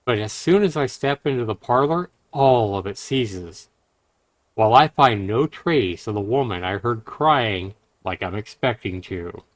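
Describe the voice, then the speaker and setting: A man's voice: on the low side, animated, a little slow, no echo. A man streaming a game, reading a visual novel aloud and adding casual commentary.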